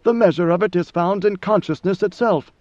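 A cold, imperious god-emperor, voiced by a male narrator, speaking with lofty, measured command. The voice sits high. The recording is dry and slightly muffled. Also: darkish tone, no echo, natural voice.

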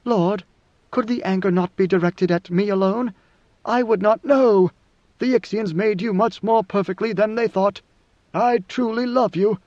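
A man's voice: high voice, measured pace, a little mellow, no room sound, dull audio, pitched above the performer's own voice. A man voicing a devoted, anxious young woman in a storybook reading, earnest, pleading and deferential.

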